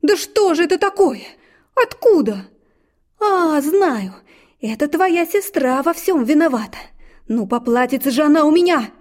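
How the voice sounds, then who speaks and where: A woman voicing a bossy, shrill peasant mother in a fairy-tale reading, scolding and exclaiming. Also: animated, a little slow. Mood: alarmed. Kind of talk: storybook reading.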